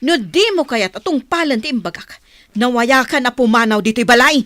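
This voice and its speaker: Radio drama: bossy, spiteful mother, played by a woman, somewhat high voice, scolding, dry sound. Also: lively intonation, normal speed, a bit bright, no echo. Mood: stern, commanding. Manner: scolding, commanding. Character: harsh, domineering mother who resents her adopted son. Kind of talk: Ilocano radio drama acting.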